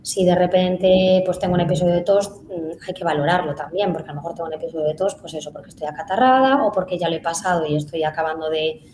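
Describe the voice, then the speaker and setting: Speech therapist, woman, lowish voice, hesitant, roomy echo. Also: steady tone, fast, few pauses, a little mellow. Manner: hesitant, many fillers. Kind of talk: live conference Q&A. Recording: echoey room, faint noise.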